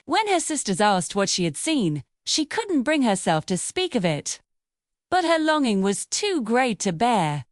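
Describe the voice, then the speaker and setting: Female fairy tale narrator: highish, very expressive pitch, measured dramatic storytelling, dry studio-style sound. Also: no echo.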